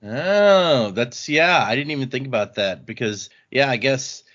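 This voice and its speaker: Male podcast co-host, animated and playful, slightly muffled sound. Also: mid-range voice, measured pace. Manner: playful, teasing, laughs a lot. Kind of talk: casual book club chat.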